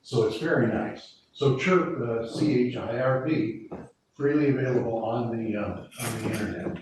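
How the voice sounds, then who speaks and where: A man's voice: mid-range voice, moderate pace. Guy at a committee meeting going over radio forms, lots of restarts, far off in an echoey room with faint hiss. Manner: rambling, with many restarts. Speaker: emergency preparedness volunteer.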